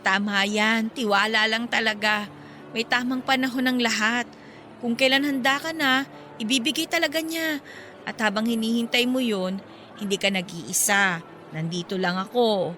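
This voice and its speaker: High, bright actress as a supportive young mother. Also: fairly even intonation, normal speed, slight background noise. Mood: comforting. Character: supportive pregnant mother, a loyal best friend. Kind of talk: Filipino radio drama acting.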